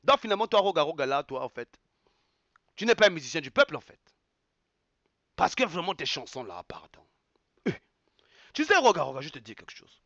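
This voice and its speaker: A man's voice: fairly high pitch, big pitch swings, a little fast, long pauses, pushed and bright, no room sound, highs cut off. A man from Congo, delivering a heated evening rant to his viewers. He repeats his points angrily and slips local words into his talk. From Republic of the Congo. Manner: angry, emphatic, repeats phrases, drops Lingala words. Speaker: online commentator.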